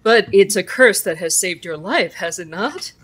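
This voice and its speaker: A woman acting in a naturalistic audio drama as a calm, reassuring support group leader who explains and gently challenges. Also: mid-range voice, moderate pace, natural voice. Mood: gently challenging.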